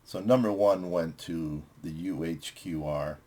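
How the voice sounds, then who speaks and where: A man's voice: sits low, a little slow, dark tone. A man hosting a music vlog, chatting casually as he reviews records with friends.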